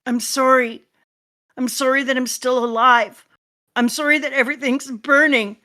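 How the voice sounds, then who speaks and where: A woman's voice: high-pitched, animated, measured pace, sharp tone, pitched above the performer's own voice, full character voice. A woman voicing an anguished parent lamenting and comforting a child in a comic read-aloud.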